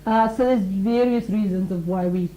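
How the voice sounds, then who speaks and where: A woman's voice: mid-range voice, normal speed, mellow, reverberant, slight background noise, poor audio. A woman who teaches mindfulness and talks earnestly on a radio show, explaining ideas through everyday examples and acted-out quotes. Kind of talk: conversational radio talk.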